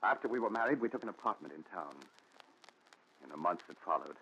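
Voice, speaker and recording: Low-voiced haunted radio-drama husband. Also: male voice, expressive, quick pace, a bit bright, breathy edge, full character voice. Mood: wistful, brooding. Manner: earnest, brooding, melodramatic. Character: haunted, exasperated husband confessing to his doctor. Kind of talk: 1940s radio thriller acting. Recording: dull, slightly noisy 1940s radio recording.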